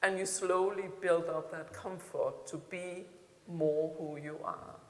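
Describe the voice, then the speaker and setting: A woman's voice: fairly low pitch, steady tone, takes it slowly. A middle-aged woman from Denmark with a Danish accent, speaking candidly and reflectively as a panel speaker, with dry humour. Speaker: keynote speaker. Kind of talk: answering audience questions at a panel. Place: large hall. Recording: echoey hall with faint ambience.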